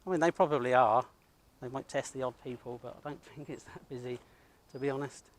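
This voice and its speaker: A man's voice: mid-range voice, fairly even intonation, brisk. A British man talking bluntly and self-assuredly as he narrates to his camera outdoors and chats casually, working as an independent videographer. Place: outdoors at a college car park.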